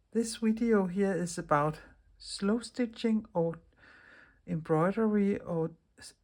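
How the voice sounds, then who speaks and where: Low-voiced Danish woman hosting a craft tutorial. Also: a little mellow. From Denmark. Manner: chatty, restarts words often, non-native phrasing. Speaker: craft video host. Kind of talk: casual craft tutorial talk.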